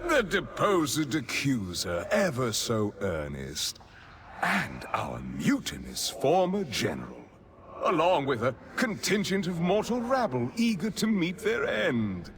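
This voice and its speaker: An actor playing a grandiose, mocking vampire lord villain in a video game, pompous and silkily sardonic. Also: male voice, a little high, wildly swooping pitch, slow, noisy background, exaggerated voice. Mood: mocking welcome.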